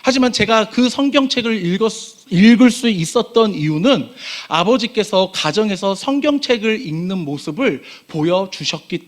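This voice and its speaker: Young male pastor, high voice, earnest sermon delivery that builds on key phrases and softens at line ends. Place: church sanctuary.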